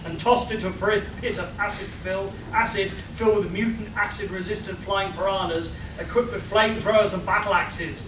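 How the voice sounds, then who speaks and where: A woman's voice: mid-range voice, steady tone, normal speed, hardly any pauses, lightly acted. Woman acting a bombastic adventurer man, echoey, distant. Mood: boastful. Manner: boastful, long breathless rants. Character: bragging, bombastic male adventurer hero. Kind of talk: live radio play comedy acting. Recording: echoey, noisy room from far off.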